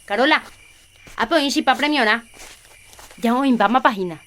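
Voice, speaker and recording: Actress as caring Paraguayan village catechist, higher voice. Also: female voice, normal speed, a bit bright, close to an everyday voice. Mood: busy, organizing. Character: Juliana, caring, busy catechist woman organizing a village festival. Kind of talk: radio soap opera acting in Jopara.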